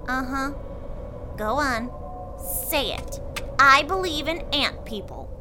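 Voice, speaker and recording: A teasing young female mole with a high, lively voice full of dry needling. Steady noise runs behind her. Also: slow. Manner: wry, teasing. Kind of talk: audio drama acting.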